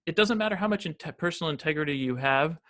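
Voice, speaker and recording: A man's voice: a little high, lively intonation, fast, a bit bright. An American man in his fifties, a financial advisor talking as a podcast guest, rambling with many restarts and fillers. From United States. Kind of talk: casual interview conversation.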